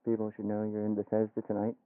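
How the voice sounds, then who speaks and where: An American man hosting a casual radio interview, asking questions in a low-key, conversational way. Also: monotone, fast, no room sound. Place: radio studio. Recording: tinny, phone-like vintage radio aircheck.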